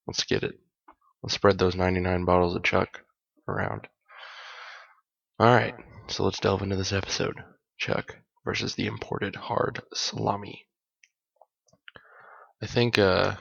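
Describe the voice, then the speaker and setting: A man hosting a casual solo podcast with a hoarse voice, rambling and correcting himself as he talks, with small jokes along the way. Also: sits low, a bit bright, muffled recording. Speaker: podcast host.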